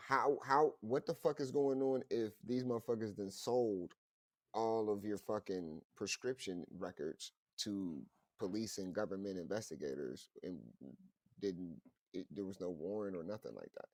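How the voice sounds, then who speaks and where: A man co-hosting a casual podcast chat, talking in an animated, profane way, breaking into laughs and reading news aloud. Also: on the low side, normal speed, no echo. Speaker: podcast co-host.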